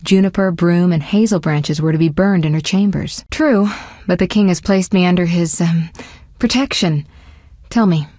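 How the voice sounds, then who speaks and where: A woman's voice: fairly low pitch, normal speed, dark tone, no echo, studio quality, natural voice. A woman voicing a self-assured, sly sorceress in a video game, speaking in poised, articulate, formal phrases with a teasing edge. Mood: earnest, informative. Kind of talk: scripted video game dialogue.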